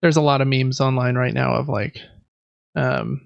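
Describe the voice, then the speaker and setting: Male podcast co-host, easygoing and chatty, gravelly fry in the voice, dry and slightly lo-fi sound. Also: medium pitch, fairly even intonation, moderate pace, no room sound. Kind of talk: casual chat.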